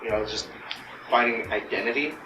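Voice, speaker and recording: Low-voiced man, distant and echoey. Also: moderate pace. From United States. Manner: casual, rambling, frequent "right?". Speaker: interviewee. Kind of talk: personal interview. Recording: distant mic in noisy echoey room.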